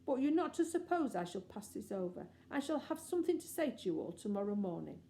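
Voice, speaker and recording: A woman voicing a pompous, indignant grown-up young gentleman with an affected, haughty manner in a storybook reading. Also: mid-range voice, sing-song, fast talker. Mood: bitter.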